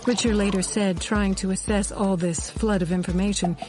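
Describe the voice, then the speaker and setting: A woman's voice: mid-range voice, steady tone, moderate pace, close to an everyday voice. An actress playing a composed, wry intelligence insider, narrating her memories in a scripted radio drama. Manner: storytelling, knowing, composed. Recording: music loud behind the voice. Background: loud music.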